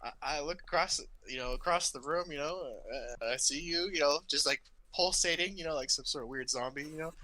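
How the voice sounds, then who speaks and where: A man's voice: a little high, brisk, sharp tone. A man co-hosting a casual podcast who teases his friends playfully. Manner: teasing, playful. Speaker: podcast co-host.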